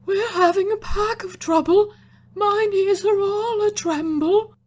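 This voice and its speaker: A woman's voice: very high voice, unhurried, pitched above the performer's own voice. A woman voicing a fretful, sentimental male camel that wheezes and wails. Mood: wailing. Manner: wheezing, wailing.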